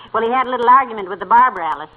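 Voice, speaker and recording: An actress playing a patient, dryly teasing middle-aged housewife in a 1940s radio comedy, gently scolding. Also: female voice, on the high side, lively intonation, quick pace. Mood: wry. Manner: dry, wry, gently scolding. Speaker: comic wife in a radio sitcom. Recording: tinny, very noisy old radio broadcast.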